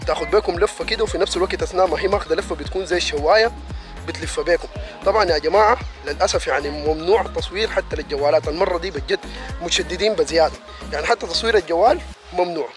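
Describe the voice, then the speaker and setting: Rapid-talking man vlogging. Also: fairly high pitch, over music. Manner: rapid, enthusiastic, addresses viewers directly. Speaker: vlogger reviewing a city event. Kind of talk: casual chat to viewers.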